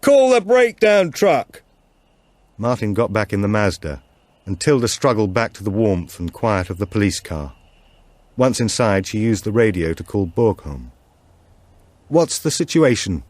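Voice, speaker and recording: Low-voiced man narrating a thriller. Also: darkish tone, dry recording. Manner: measured, deliberate storytelling. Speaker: audiobook narrator. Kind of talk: scripted narration of a supernatural thriller.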